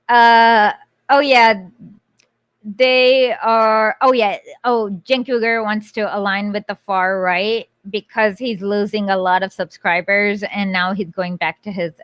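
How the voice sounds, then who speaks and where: A woman's voice: medium pitch, a bit bright, dry recording. Rambling woman chatting on a stream. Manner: rambling, quick, self-interrupting. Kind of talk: casual livestream chat.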